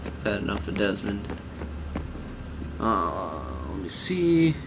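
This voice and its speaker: Slow-paced male gamer, noisy background. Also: lowish voice, very animated. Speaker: gaming video host. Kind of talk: casual video game commentary.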